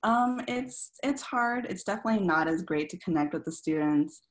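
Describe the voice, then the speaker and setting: A woman from Korea who teaches high school, answering softly and with some hesitation over a video call. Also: expressive, normal speed.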